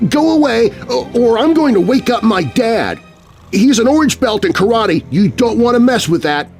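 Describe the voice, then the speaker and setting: A man's voice: extremely high pitch, sing-song, moderate pace, over music, pitched above the performer's own voice, exaggerated voice. A man playing a frightened, stubborn young boy in a scary children's story. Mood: defiant. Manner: stammering, pleading.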